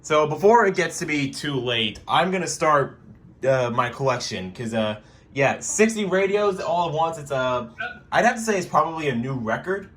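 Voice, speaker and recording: A man's voice: a little high, animated, normal speed, a bit bright. A young man rambling casually through a review vlog in his bedroom, joking and dropping lots of fillers. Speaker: weather radio collector.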